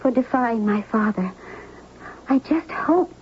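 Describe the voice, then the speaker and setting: A woman's voice: on the high side, takes it slowly. An actress playing a tender, lovestruck young woman from the sea in a 1970s radio drama, speaking in earnest romantic declarations. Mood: happy, uneasy. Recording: noisy, muffled 1970s radio recording.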